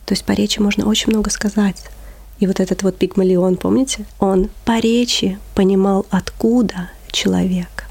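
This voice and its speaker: A woman's voice: mid-range voice, steady tone, normal speed, vocal fry, a little mellow, breathy edge. A woman, an English teacher who runs a children's theater, talking expressively as an interview guest and sometimes dropping to a whisper for emphasis.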